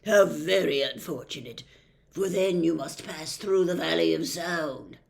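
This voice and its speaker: A narrator voicing a blustering male storybook doctor, booming and emphatic, with a declamatory delivery. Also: unhurried, creaky. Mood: ominous. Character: blustering, roaring male doctor who adores noise.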